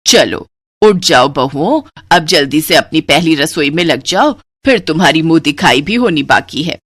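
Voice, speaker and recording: A woman voicing a bossy older mother-in-law in a family cartoon, with a drawn-out, instructing manner. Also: low voice, lively intonation, breathy edge, dry recording, pitched below the performer's own voice.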